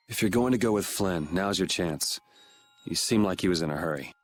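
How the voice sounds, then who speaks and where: A man's voice: low voice, lively intonation, normal speed, a little hiss or hum. A man playing a cynical, defiant young vigilante swordsman in a dubbed anime game, with a blunt, sardonic delivery. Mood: quiet, testing.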